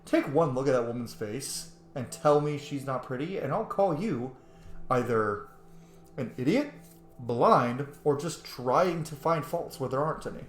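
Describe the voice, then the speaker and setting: A video game player with a mid-pitched voice, giving casual, silly, informal commentary while roleplaying. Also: expressive, a little slow, echoey room. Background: faint video game music.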